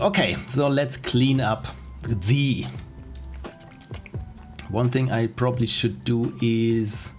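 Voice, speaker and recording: A middle-aged man with an Austrian accent, chatting casually and rambling as he edits on a livestream, with soft laughs and restarts. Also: medium pitch. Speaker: streamer. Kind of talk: casual livestream chat while editing. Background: faint music and keyboard typing.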